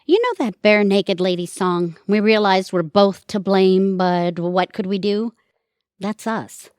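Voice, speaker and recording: A woman's voice: mid-range voice, a little slow, a little mellow, clear, resonant tone, no echo, clean studio sound, lightly acted. A woman playing a prickly, self-absorbed middle-aged mother with a sardonic, cutting manner in an audio theatre comedy-drama. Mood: wry, defiant. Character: prickly, self-absorbed retired mother, sharp-tongued and sarcastic.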